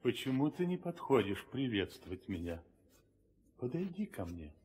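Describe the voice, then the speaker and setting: An actor playing a demanding, falsely humble old wandering monk in a 1960s audio drama. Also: male voice, mid-range voice, very animated, moderate pace, darkish tone, slightly rough. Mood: solemn, pleading. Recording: long reverb, old studio recording.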